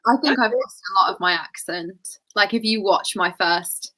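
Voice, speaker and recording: A woman chatting as a guest on a live video stream, enthusiastic and quick to laugh. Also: medium pitch, bright, forceful tone, poor audio. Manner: enthusiastic and giggly. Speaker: guest co-host. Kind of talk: casual live-stream chat.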